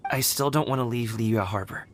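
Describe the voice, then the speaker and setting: A man's voice: medium pitch, moderate pace, background noise, lightly acted. A young man voicing an earnest, uneasy son with a hesitant manner in a video game. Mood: uneasy, puzzled. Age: young adult. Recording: faint music behind.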